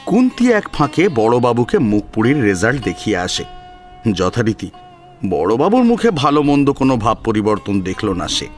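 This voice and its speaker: A man's voice: medium pitch, wildly swooping pitch, normal speed, soft background music. A man narrating a serialized story in a dramatic style, as its storyteller.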